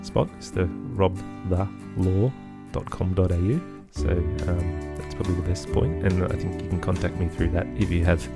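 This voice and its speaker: Deep, gravelly Australian man in conversation. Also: fairly even intonation, over loud music. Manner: thoughtful, halting, searching for words. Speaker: guest, composer and children's podcaster. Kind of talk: reflective conversational interview.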